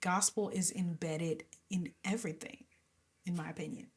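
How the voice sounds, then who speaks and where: A woman's voice: fairly low pitch, steady tone, normal speed. A young adult woman singer, a guest in an interview, talking casually and with animation, laughing often and sometimes dropping to a whisper.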